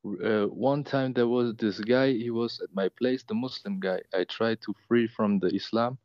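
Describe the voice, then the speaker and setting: A young man in his twenties with a fairly low voice calls in to tell a personal story. He is hesitant and emotional, stalling on fillers and restarting sentences. His voice comes through dry and dull, with little room sound. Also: moderate pace, a little mellow, no room sound. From Germany. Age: 20s. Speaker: caller. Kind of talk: personal story on a call-in show.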